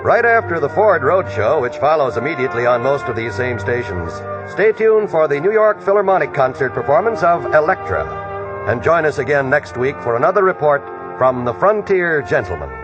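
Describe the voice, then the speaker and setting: A man's voice: on the high side, sing-song, normal speed. An American man reading scripted credits as a 1950s network radio announcer. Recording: muffled vintage radio with loud music.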